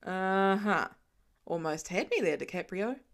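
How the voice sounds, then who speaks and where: A gruff, sarcastic man, as a woman reads him mutters his lines low and dry. He sounds wry and unimpressed, pitched down from her own reading voice. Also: animated, unhurried.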